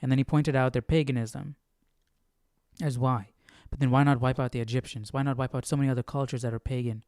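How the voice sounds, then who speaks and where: A man with a slightly low, smooth, clear voice talks through a Bible study casually, recorded close and dry. He reads aloud and adds his own comments, often thinking out loud and questioning himself. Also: steady tone, fast talker, no echo. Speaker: host of a Bible study stream.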